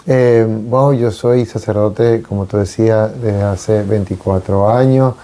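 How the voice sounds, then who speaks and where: A man in his forties from Venezuela, a priest, talking on a radio show in an unhurried, reflective way with frequent hesitations. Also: lowish voice, fairly even intonation, warm tone. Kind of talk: casual conversation on a radio talk show.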